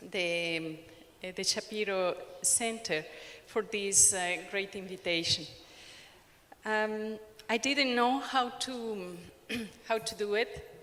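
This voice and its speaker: A woman with an Ecuadorian accent speaks slowly and deliberately at a conference. She pauses often and restarts her sentences. Her voice carries a long reverb from a large lecture hall. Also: medium pitch, fairly bright tone, slight background noise. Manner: halting, with frequent pauses and restarts. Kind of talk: academic conference talk.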